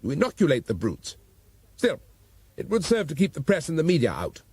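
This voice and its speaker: A man voicing a loud, exasperated, foul-mouthed police commissioner. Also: on the high side, huge pitch swings, a little fast, breathy edge, no room sound, voice pushed up, exaggerated voice.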